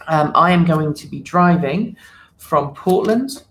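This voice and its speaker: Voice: medium pitch, animated, a little slow, a bit bright, slight background noise, rough recording. A live stream host chatting casually and unscripted, rambling and thinking aloud. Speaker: channel host.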